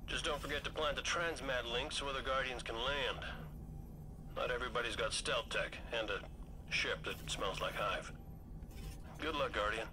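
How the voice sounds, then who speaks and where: A man's voice: medium pitch, moderate pace, noisy background, natural voice. A man playing a cocky, breezy pilot and tinkerer in a video game, casual and wisecracking. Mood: breezy confidence. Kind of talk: video game cutscene acting.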